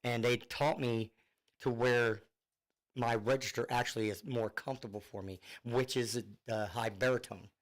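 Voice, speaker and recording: A man's voice: mid-range voice, fairly even intonation, normal speed. A middle-aged man with a northern US accent, a singer guest on a radio show, chatting casually and rambling with many fillers and restarts, heard over a phone line. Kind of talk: casual radio interview chat. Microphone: Bluetooth phone line.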